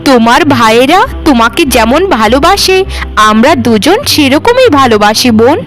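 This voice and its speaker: A woman's voice: high-pitched, animated, normal speed, fairly bright tone. A woman voicing a meek, long-suffering young village housewife in a cartoon, speaking in an earnest, placating way. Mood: pleading. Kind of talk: village moral-story cartoon acting. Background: background music.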